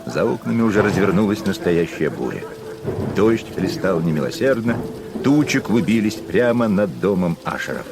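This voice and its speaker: A man narrating a gothic radio play in a measured, atmospheric way. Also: low-pitched, very animated, creaky.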